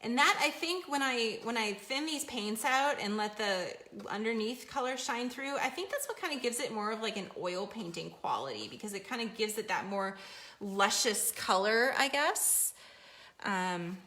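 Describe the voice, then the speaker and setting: A woman teaching a live paint-along lesson from her studio easel, chatty and encouraging, a little hoarse as she is losing her voice. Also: on the high side, expressive, normal speed, bright, forceful tone. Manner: chatty, encouraging, reads viewer comments aloud. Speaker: painting instructor. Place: home art studio at an easel.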